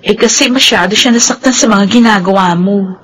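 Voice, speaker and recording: An actress playing a frank, teasing office friend in a Filipino radio drama, animated in her delivery. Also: medium pitch, a little fast, breathy edge. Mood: chiding.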